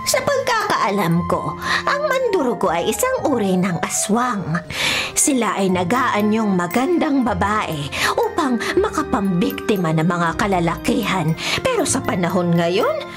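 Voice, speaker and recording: This is a kindly old grandmother in a radio drama. Her pitch is middling and moves a lot as she gently explains things. Music is clearly heard behind her. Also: wildly swooping pitch, a little slow. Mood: explaining. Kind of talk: scripted radio drama acting.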